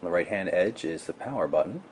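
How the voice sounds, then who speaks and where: A man's voice: deep voice, lively intonation, fast talker, a little mellow. A man giving a casual, matter-of-fact product demonstration, explaining things as a tech reviewer. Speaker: tech reviewer presenting a gadget unboxing. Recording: clear background noise.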